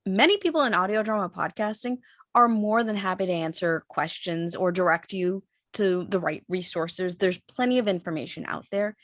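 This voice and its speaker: A woman on a discussion panel, giving measured advice as an audio drama creator. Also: medium pitch, a bit bright, dry recording, studio quality.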